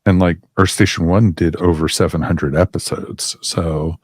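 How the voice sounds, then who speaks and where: Male podcast host, very deep voice, dry close mic, loose rambling delivery. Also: fairly even intonation, normal speed, slightly rough, no echo. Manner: rambling, many fillers. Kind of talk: casual podcast chat.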